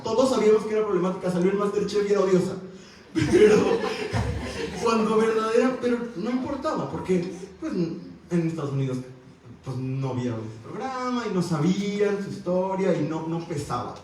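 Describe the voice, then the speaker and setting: A man with a Mexican accent speaking on a university panel in a wry, animated, conversational way with many fillers. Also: sits high. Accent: Mexican Spanish. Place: university auditorium. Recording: echoey hall, from far off.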